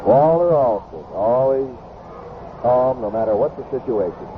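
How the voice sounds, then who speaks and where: A man's voice: medium pitch, lively intonation, a little slow, background noise. Phone-like old radio baseball play-by-play man. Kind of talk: live radio sports commentary.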